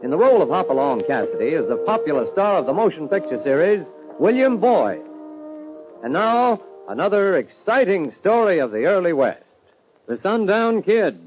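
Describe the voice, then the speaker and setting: A man's voice: sits high, sing-song, moderate pace. A man announcing and narrating a 1950 radio western in a dramatic, declamatory style. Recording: dull old radio recording over music. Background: loud music.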